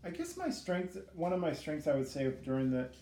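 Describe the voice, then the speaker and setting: A middle-aged man giving casual, reflective interview answers in a hesitant, halting way, as a student teacher being interviewed. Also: on the high side, huge pitch swings, warm tone. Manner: hesitant, halting, self-critical. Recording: echoey room with faint background noise.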